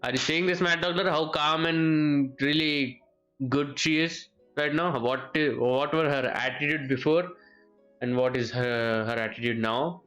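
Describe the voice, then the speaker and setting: A man's voice: fairly high pitch, steady tone, measured pace, a bit bright, soft background music, low-quality recording. A man livestreaming a game, chatting informally with viewers and repeating his phrases as he reacts. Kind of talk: casual livestream chat and reactions.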